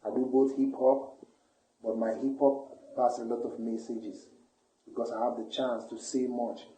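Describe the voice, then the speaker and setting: Earnest musician man, very dark tone, echoey. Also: medium pitch, a little hiss or hum. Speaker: reggae and hip hop musician. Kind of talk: interview answer. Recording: echoey room.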